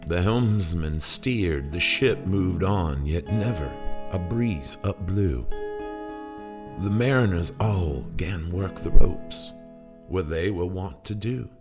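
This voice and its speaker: A man's voice: low voice, slow. A man voicing a haunted old sailor in a solemn, theatrical recitation of narrative verse. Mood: haunted, intense. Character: haunted old male sailor recounting ghostly ordeal at sea. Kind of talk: dramatic poetry recitation. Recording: music under the voice. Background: plucked guitar music.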